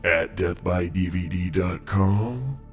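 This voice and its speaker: A man delivering a scripted horror podcast promo as an announcer, in deliberate, dramatic short phrases. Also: low-pitched, soft background music.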